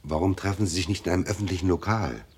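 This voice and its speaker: An actor in a radio drama playing a cautious, businesslike private detective, polite and pragmatic. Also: male voice, low voice, fast, darkish tone, slightly rough.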